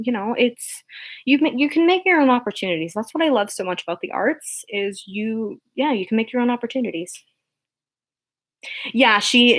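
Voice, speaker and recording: A young woman chatting casually on a livestream, rambling with many fillers and restarts, earnest and encouraging as she answers viewer questions. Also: fairly bright tone. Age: young adult. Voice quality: low speaking voice. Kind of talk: casual unscripted chat on a livestream.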